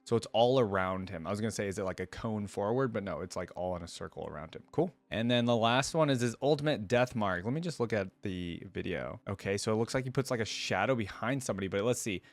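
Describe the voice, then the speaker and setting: A man's voice: on the low side, quick pace, hardly any pauses, no room sound. A man hosting a casual reaction video, reading aloud and commenting informally as he thinks things through.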